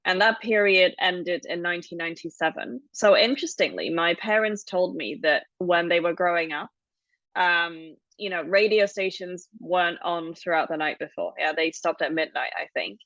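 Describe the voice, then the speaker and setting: Low-voiced woman from Hong Kong. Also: normal speed, fairly bright tone, no room sound, highs cut off. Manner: explanatory, thoughtful storytelling. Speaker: podcast co-host. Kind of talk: casual podcast conversation.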